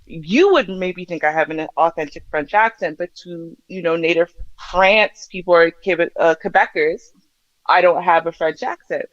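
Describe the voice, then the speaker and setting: A woman's voice: fairly low pitch, moderate pace, no echo. Woman from Canada, chatty, self-mocking, laughs a lot, dry, slightly muffled call audio. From Canada, Jamaican family. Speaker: regular guest co-host. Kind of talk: casual livestream chat.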